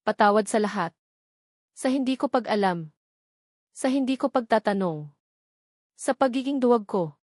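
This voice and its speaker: Audio drama role: remorseful CEO son played by a female narrator, gentle, weighty, earnest delivery, close dry sound. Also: on the high side, lively intonation, normal speed, long pauses, no echo. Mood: pleading, remorseful.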